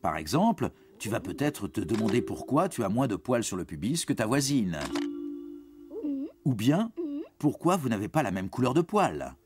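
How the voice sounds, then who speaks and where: A man's voice: fairly low pitch, very animated, normal speed. A man giving scripted educational narration for young teens in a reassuring, explanatory manner. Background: background music.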